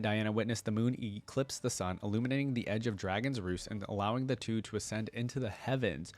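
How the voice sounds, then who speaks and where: A man hosting a casual reaction video, reading text aloud and thinking out loud with offhand asides. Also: fairly low pitch, no room sound.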